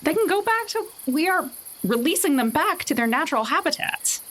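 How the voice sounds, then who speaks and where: A woman's voice: sits high, very animated, fairly quick, fairly bright tone, slight background noise. A woman playing a tabletop game, bantering in a playful, improvised way.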